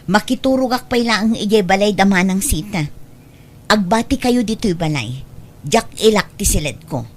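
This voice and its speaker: A woman's voice: mid-range voice, lively intonation, normal speed, natural voice. A woman playing a caring, sensible grown daughter who runs the household, in a radio drama. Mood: caring, concerned.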